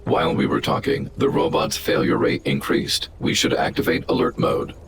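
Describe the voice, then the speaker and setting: A man's voice: very deep voice, little pitch movement, a little hiss or hum. A man voicing a large, stern, protective robot in a video game, speaking in a formal, coldly logical, analytical way. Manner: formal, analytical, measured, stating probabilities. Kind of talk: video game character dialogue. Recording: game music behind the voice. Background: game music.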